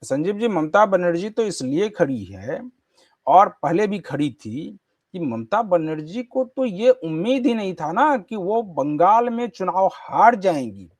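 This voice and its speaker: Male news anchor, higher-set voice, highly animated delivery, emphatic commentary, dry sound. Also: no echo. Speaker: news show host. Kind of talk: news commentary and panel questioning.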